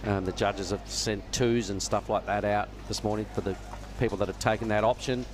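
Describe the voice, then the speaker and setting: A man's voice: lowish voice, brisk. Aussie guy doing laid back surf comp commentary, chatty, outdoor noise and cars behind him. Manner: relaxed, knowledgeable, chatty. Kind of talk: live sports commentary. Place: judging tower at an outdoor surf contest. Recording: noisy outdoor ambience with traffic.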